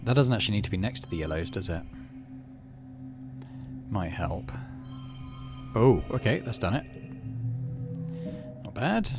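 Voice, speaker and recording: Deep-voiced man with very lively pitch. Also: unhurried, pauses often, over music. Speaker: let's play host. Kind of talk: casual game commentary and reading text aloud.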